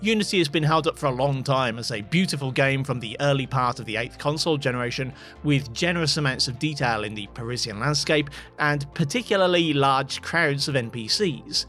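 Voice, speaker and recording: A man's voice: on the high side, fairly bright tone. A man giving scripted voice-over narration as a tech video host, with dry, wry asides.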